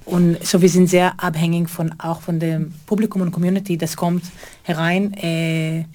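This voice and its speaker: A middle-aged woman from Israel, a choreographer answering interview questions in halting, German. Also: on the low side, little pitch movement, warm tone. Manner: halting, non-native grammar, earnest. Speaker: choreographer and theater co-founder.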